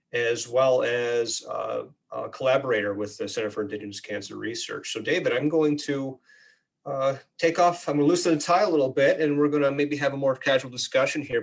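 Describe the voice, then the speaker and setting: A man's voice: mid-range voice, gravelly. A man who is a researcher, hosting a webinar and introducing a guest in a casual, somewhat hesitant way.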